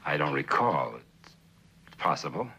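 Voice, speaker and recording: An actor playing a guarded, reluctant middle-aged witness in a 1960s TV courtroom drama, answering carefully and haltingly in a husky voice. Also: male voice, extremely low pitch, very animated, bright, forceful tone, exaggerated voice.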